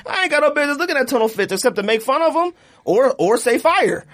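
A man hosting a podcast in casual, slangy chat, talking in an animated way and repeating himself for emphasis. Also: very high-pitched, fast. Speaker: podcast host. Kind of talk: casual chat, friendly debate.

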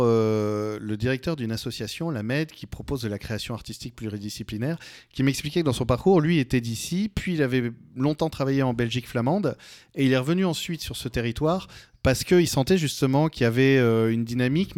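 A male radio host with a mid-pitched voice, recorded dry and close in a studio. He interviews in a casual, conversational way, circling and rephrasing as he builds each question. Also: fairly quick, darkish tone, dry recording.

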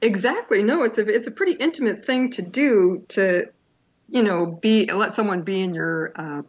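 An older woman who is a podcast guest, telling her own story in a reflective, unhurried way. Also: mid-range voice, lively intonation, highs cut off. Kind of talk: interview, personal storytelling.

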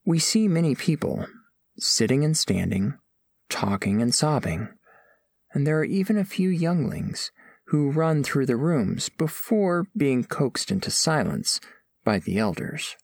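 Alien envoy narrator, gravelly edge, dry studio sound, formal, earnest, gently reverent, measured storytelling. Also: male voice, a little high, big pitch swings, no echo, exaggerated voice. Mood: curious, observant. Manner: measured, formal, precise diction. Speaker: first-person narrator of a story. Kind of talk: scripted audio fiction narration.

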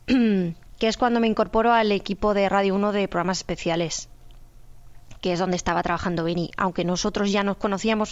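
A woman's voice: medium pitch, clear, resonant tone, highs cut off. A middle-aged woman with a Spanish accent from Spain, recalling her work as an interview guest in conversation. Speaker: interview guest, radio fiction producer. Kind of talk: conversational recollection.

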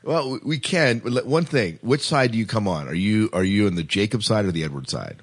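A man's voice: fairly low pitch, lively intonation, brisk, husky. A man hosting a casual podcast discussion, often repeating and restarting his words as he puts questions. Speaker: podcast host.

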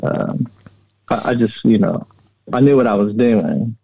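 A middle-aged man telling personal stories as an interview guest, plain-spoken and halting, often repeating words. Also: lowish voice, gravelly, dark tone, slight background noise. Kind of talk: casual personal storytelling. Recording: dull, muffled but dry audio.

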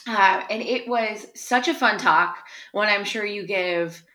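A woman's voice: medium pitch, a little slow, bright, forceful tone. Chatty, upbeat woman hosting a podcast. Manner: upbeat, chatty, many "sort of" fillers. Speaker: podcast host. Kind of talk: podcast intro and interview. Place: my town.

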